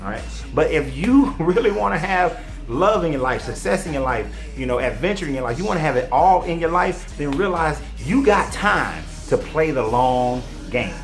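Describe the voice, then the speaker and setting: A man's voice: fairly high pitch, lively intonation, moderate pace, faint music behind. Emphatic man in his forties preaching. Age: 40s. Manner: preachy and emphatic, talks straight to viewers. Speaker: online commentator and life coach. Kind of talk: motivational monologue.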